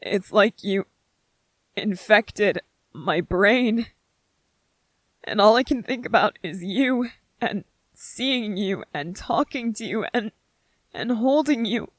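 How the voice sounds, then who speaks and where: A performer playing a gentle young male soldier in an intimate ASMR roleplay, speaking tenderly and haltingly with vulnerable, earnest emotion. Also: medium pitch, expressive, no echo. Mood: overwhelmed, tender.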